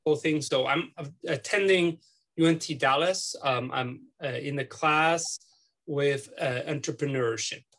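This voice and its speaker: A middle-aged man from Switzerland with a Swiss accent, interviewing someone over a video call from his home office, talking haltingly with many fillers and restarts. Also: on the high side, fairly bright tone. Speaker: student interviewer. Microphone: laptop webcam on video call.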